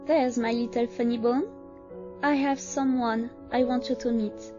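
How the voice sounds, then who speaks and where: A woman voicing a gentle, doting skeleton monster mother, tender and reassuring, in an animated comic dub. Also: a little high, measured pace, natural voice. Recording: muffled audio with music underneath.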